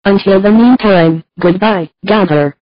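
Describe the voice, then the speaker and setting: A man's voice: high-pitched, a little slow, dry recording, lo-fi sound. Man as a scornful villainous virus monster, dry sound. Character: giant taunting villainous virus creature, hateful and scornful. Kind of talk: amateur scripted monster drama.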